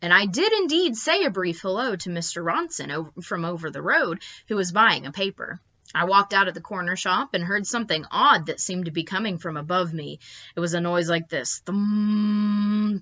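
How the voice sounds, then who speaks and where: A woman's voice: medium pitch, bright, forceful tone. A woman voicing a whimsical, rambling dad who tells a tall tale in a hesitant, theatrical manner, on a slightly dull recording. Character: whimsical, rambling middle-aged British dad telling tall tales.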